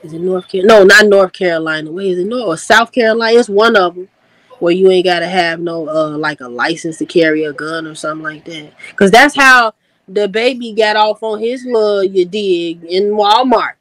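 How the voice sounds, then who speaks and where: A woman's voice: lowish voice, a little fast, fairly bright tone, no room sound, rough recording. A woman hosting a casual livestream chat, laughing easily and talking in loose slang. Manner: laid-back, laughing, slangy storytelling. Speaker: host.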